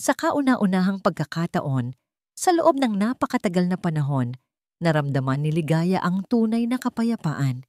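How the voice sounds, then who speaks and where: A woman reading a scripted dramatic story as narrator, with measured, deliberate phrasing. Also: lowish voice, big pitch swings, darkish tone, no room sound, studio quality.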